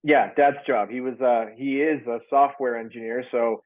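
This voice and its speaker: A man's voice: mid-range voice, steady tone, moderate pace, narrow, tinny audio. A young man from Canada answering interview questions over a video call from home, loose and easygoing, with lots of fillers. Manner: loose, many fillers and restarts. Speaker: actor guest. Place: home in Toronto. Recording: thin video-call audio.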